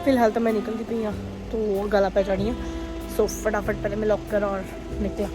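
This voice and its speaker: A young woman, hoarse from a cold, chatting casually to her vlog camera while she waits in a car. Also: medium pitch, flat delivery, normal speed, music in the background. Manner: chatty, rambling, mixes in English words. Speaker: vlogger. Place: sitting in a parked car.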